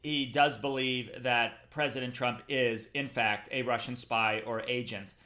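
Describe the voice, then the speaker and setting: A man's voice: medium pitch, steady tone, a little slow, pushed and bright. A man hosting a political talk show, earnest and asking pointed questions. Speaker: host.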